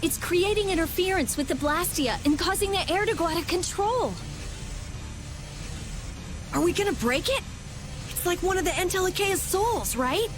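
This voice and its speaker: A woman voicing an excitable young adventurer in a fantasy game, eager and quick to alarm. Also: very high voice. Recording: game audio with loud music behind.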